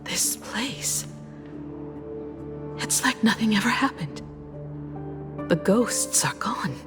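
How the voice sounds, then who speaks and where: A woman voicing a composed, pensive adult character in a fantasy game, speaking in a measured, solemn, reflective way. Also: mid-range voice, expressive, over music. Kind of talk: video game dramatic dialogue.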